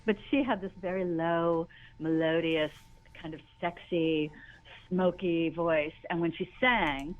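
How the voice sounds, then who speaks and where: An older woman with a high voice, chatting in an interview as a guest, talking in long rambling runs full of restarts and laughs. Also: fairly even intonation, slow, narrow, tinny audio. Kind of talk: casual interview chat.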